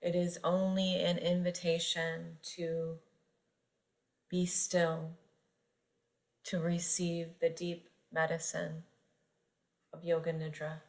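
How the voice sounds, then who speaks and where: A woman guiding a yoga nidra meditation, speaking gently and unhurriedly with long pauses between phrases. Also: lowish voice, monotone, vocal fry. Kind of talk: guided meditation narration.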